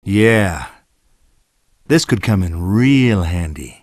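A man's voice: deep voice, animated, drawn-out words, no room sound, clean studio sound, heavily acted. A man playing a cocky, sarcastic tough horror action hero in a video game, with a sardonic swagger. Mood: wry. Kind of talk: video game cutscene acting.

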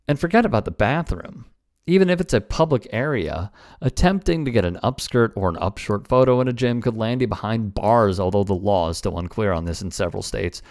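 A man's voice: lowish voice, darkish tone, no room sound, clean studio sound. Stern male sports news commentator.

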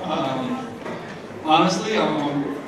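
A man's voice: on the high side, lively intonation, drawn-out words. A young man from New Jersey giving a casual, joking acceptance speech at a gala, full of fillers, heard through the podium mic from the audience. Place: large hall, on stage at a gala. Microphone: podium mic through speakers, recorded from the audience. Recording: echoey hall from far off, noisy crowd. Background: crowd murmur and room noise.

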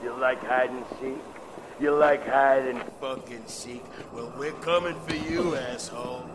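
A man voicing a menacing, sadistic gang thug in a video game, taunting and cursing with drawn-out shouts. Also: mid-range voice, steady tone, close to an everyday voice. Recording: noisy game cutscene audio.

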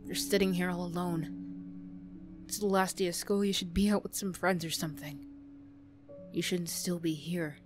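A woman's voice: fairly low pitch, steady tone, close to an everyday voice. Prickly wounded teenage bully girl, acted by a woman. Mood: despairing, confiding. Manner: prickly, halting, emotional. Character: teenage school bully girl, defensive, wounded, reluctantly confiding. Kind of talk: ASMR roleplay drama. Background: music.

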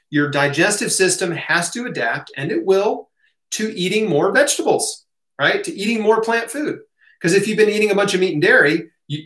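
American guy doing a casual q&a at his desk, slightly high voice, really roomy sound. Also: fairly bright tone. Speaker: host answering audience questions. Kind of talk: casual Q&A talk to camera. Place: small home office, indoors. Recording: roomy echo of a home room.

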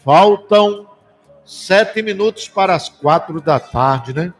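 A man with a Northeastern Brazilian accent hosting a live radio show in a folksy, exclamatory way, chatting and sending shout-outs. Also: high voice, expressive, slow pace, faint music behind. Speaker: radio host. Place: small indoor room used as a webcast studio. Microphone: desk microphone close to the mouth, headphones on.

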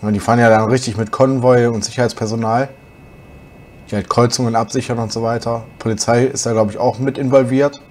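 A young man with a slight cold, hoarse, chatting casually as he plays a truck driving game for his channel. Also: mid-range voice, brisk, slight background noise. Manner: relaxed, chatty, musing aloud while driving. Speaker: gaming channel host.

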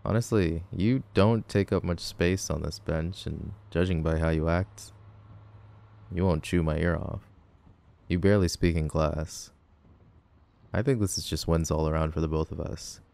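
Man playing a deep-voiced cocky teenage delinquent. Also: fairly even intonation, unhurried, gravelly, a little mellow, full character voice. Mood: dry, teasing. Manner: sarcastic, teasing, dismissive. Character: cocky, grumpy tall teenage delinquent boy, teasing. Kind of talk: boyfriend ASMR audio roleplay.

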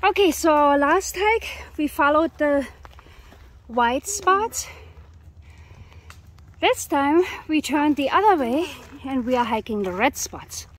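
Female family hiking vlogger, high voice, leisurely delivery, casual and chatty, recorded outdoors on a handheld camera. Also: lively intonation, takes it slowly, long pauses. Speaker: family travel vlogger and mother. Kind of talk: casual narration while hiking. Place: outdoors on a wooded trail. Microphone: handheld camera, held by the speaker.

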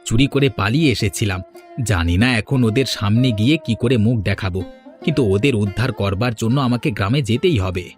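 An actor playing a remorseful but determined prosperous younger brother in a cartoon, solemn and earnest. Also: male voice, lowish voice, fairly quick, a little mellow, faint music behind, lightly acted. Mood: resolute. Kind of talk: cartoon moral story voice acting.

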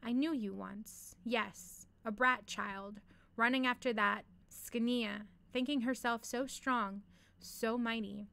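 A woman's voice: on the high side, fairly even intonation, slow pace, vocal fry, sharp tone, heavily acted. A woman voicing a mad, boastful undead male sorcerer from a fantasy story, menacing and grandiose. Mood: bitter boasting.

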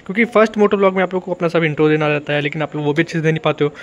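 A man's voice: a little high, very fast. A young man with an Indian accent giving a casual vlog tutorial, explaining informally and repetitively with English words mixed in. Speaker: motovlogging YouTuber giving beginner tips. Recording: dull, lo-fi sound.

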